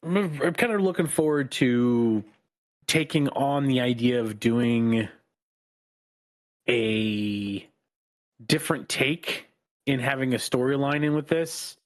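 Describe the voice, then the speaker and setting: A man co-hosting a podcast, chatting casually in a calm, even-tempered voice that is not raspy. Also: medium pitch, slow, pauses often. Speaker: podcast co-host. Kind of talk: casual chat.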